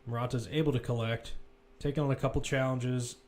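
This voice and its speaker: Male, football commentator, live play-by-play, mid-pitched voice, clipped running phrases, present-tense description. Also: steady tone, fairly quick, darkish tone.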